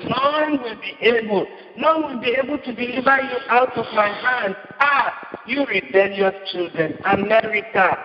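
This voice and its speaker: A man delivering an impassioned prophetic sermon, repeating his lines with rising force. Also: high-pitched. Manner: impassioned, repetitive declamation. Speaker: preacher. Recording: muffled, reverberant hall.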